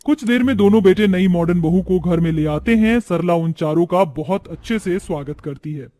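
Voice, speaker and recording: High-pitched male storyteller. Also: expressive, moderate pace, dark tone. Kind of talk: scripted story narration.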